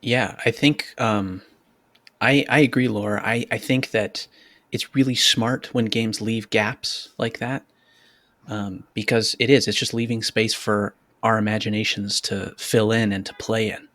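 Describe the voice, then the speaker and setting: A man's voice: lowish voice, fairly even intonation, measured pace, a bit bright, dry recording, studio quality. A man co-hosting a podcast in casual, conversational chat. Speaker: podcast co-host.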